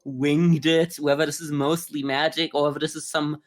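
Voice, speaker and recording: A man's voice: a little high, level tone, normal speed. A man who is a native German speaker, co-hosting a podcast and casually discussing anime. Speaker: podcast host.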